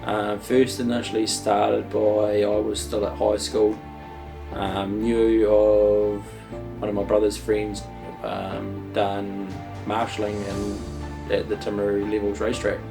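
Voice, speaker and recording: Monotone New man, halting. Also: lowish voice, gravelly. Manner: halting, many ums, plain speech. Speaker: drift driver interviewee. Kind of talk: documentary interview. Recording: distant mic with music bed. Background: guitar music.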